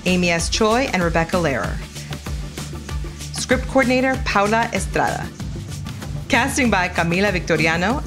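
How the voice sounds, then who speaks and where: A woman announcer reading scripted podcast credits. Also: on the low side. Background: music.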